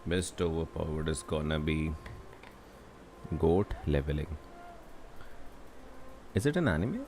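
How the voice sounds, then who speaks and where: Deep-voiced Indian-accented male livestreamer. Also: big pitch swings, slow pace, a little mellow. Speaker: game livestreamer. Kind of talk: casual livestream chat. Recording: faint background noise.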